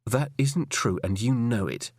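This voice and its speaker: A man's voice: medium pitch, unhurried, darkish tone, no echo, studio quality. A man voicing a stubborn, defiant male police detective in an audiobook, insistent and argumentative. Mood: countering. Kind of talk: audiobook character dialogue.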